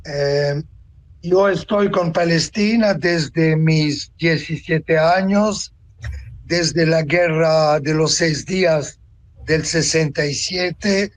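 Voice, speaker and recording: A man in his seventies from Tunisia, giving a reflective personal account as an interview guest, deliberate and pausing to find words. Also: on the high side. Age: 70s. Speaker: interview guest, author and translator.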